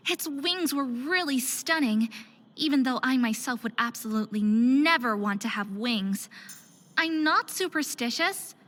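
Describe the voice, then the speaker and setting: An actress playing a sensitive, anxious young hybrid woman in a visual novel, introspective and expressive. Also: high-pitched, measured pace. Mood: excited, nervous. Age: young adult. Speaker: lead character narrator. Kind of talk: visual novel voice acting, soliloquy and diary narration.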